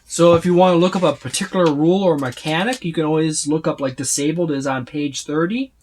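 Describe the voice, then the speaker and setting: A tabletop game reviewer giving a casual, unscripted overview, speaking haltingly with repeated words and trailing lists. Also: mid-range voice, a little mellow, a little hiss or hum. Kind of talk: casual unscripted book flip-through overview.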